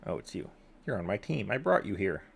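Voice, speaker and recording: Very deep, gravelly man, offhand. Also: sing-song, brisk. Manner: terse, offhand quips. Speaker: game streamer. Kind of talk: casual gameplay commentary. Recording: game music behind the voice. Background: game music and dialogue.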